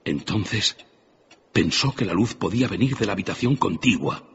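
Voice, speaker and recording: A man's voice: on the low side, expressive, normal speed, slightly rough, muffled recording. A man narrating a classic tale as a dramatic scripted radio story. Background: music.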